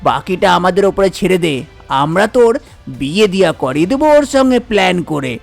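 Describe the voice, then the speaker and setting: A woman's voice: lowish voice, big pitch swings, moderate pace, gravelly, soft background music, pitched below the performer's own voice, full character voice. A woman voicing a bossy, scheming man in a cartoon tale, commanding and teasing a junior.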